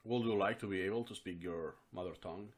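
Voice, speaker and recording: A man from Spain interviewing casually, asking halting questions with brief prompts. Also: low-pitched.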